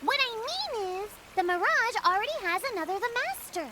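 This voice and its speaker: A woman's voice: very high voice, big pitch swings, fairly quick, heavy background noise. A woman voicing a tiny, upbeat creature companion who slips a stray "the" into her phrases, in a game cutscene. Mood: explaining. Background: game score music.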